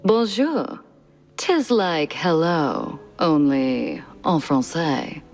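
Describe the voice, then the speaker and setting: An actress playing a sultry, theatrical former film star and femme fatale in a noir video game. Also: female voice, deep voice, sing-song, extremely slow, darkish tone, faint music behind, exaggerated voice. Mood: coy, teasing.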